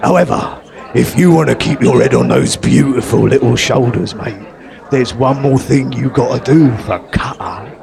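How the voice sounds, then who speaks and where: An actor playing a threatening, slow-witted prison hard man in live stage comedy, speaking deliberately and haltingly of himself in the third person. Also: medium pitch, creaky, crowd noise, close to an everyday voice. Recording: live stage recording with loud audience.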